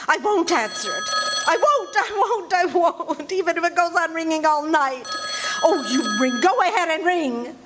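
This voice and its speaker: A woman's voice: extremely high pitch, animated, moderate pace, background noise. An actress playing a nervous, demanding, self-pitying bedridden woman in a 1940s-style thriller radio play, fretful and melodramatic. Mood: panicky. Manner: imperious, fretful, querulous, melodramatic. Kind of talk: live stage radio drama acting, 1940s thriller.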